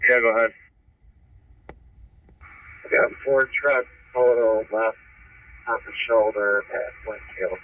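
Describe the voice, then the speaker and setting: A man's voice: lowish voice, fairly even intonation, slow pace, long pauses, phone-quality sound. A man heard over a two-way radio, trading terse working messages. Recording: distant radio speaker, background noise.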